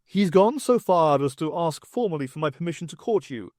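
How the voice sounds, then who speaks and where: A man playing a pompous, jovial fairytale king with a booming, genial and self-satisfied manner in a fan audiobook. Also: animated, fairly quick, darkish tone, dry recording, studio quality, natural voice.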